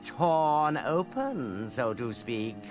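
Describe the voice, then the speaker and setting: An actor playing a grandiose, scheming bishop villain in a video game, with pompous, theatrical delivery and ornate speech. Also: male voice, mid-range voice, big pitch swings, very slow. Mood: sly, menacing. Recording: game dialogue over clear background music.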